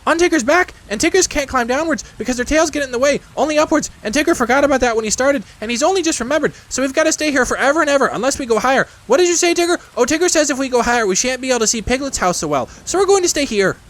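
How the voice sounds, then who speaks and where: Very high, squeaky excited kangaroo child. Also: male voice, rapid-fire, hardly any pauses. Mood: gleeful, excited. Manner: breathless, excited chatter with exclamations. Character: excitable little young kangaroo boy, squeaky and gleeful. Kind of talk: children's storybook character voice.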